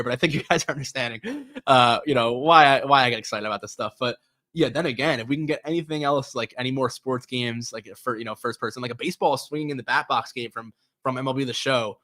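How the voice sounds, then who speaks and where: A young man talks fast and loosely through gaming news as a show host, piling up fillers and restarts. He sounds stuffy and nasal from a cold, and his pitch sits in a middle range. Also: bright, forceful tone. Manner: rambling, lots of fillers and restarts. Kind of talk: casual solo commentary.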